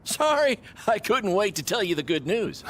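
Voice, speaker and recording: A scheming, upbeat wrestling promoter pitches like a born salesman. His delivery is lively and swooping, sitting on the high side. It is theatrical video game acting, with faint noise behind it. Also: moderate pace. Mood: teasing, gleeful. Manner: salesman-like, self-satisfied, theatrical. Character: brash, scheming indie wrestling promoter, relentlessly upbeat hype man.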